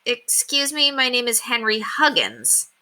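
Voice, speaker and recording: An eager young paperboy from a storybook, played by a woman, speaks high and bright. He is polite and earnest, announcing himself like a well-brought-up schoolboy. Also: sing-song, measured pace, exaggerated voice.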